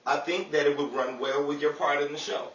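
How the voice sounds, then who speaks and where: A man's voice: on the high side, fairly even intonation, fairly quick, a bit bright, highs cut off, close to an everyday voice. A man playing a genial, easily flustered showman in an exclamatory 1930s-style radio comedy. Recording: roomy, distant, faintly noisy.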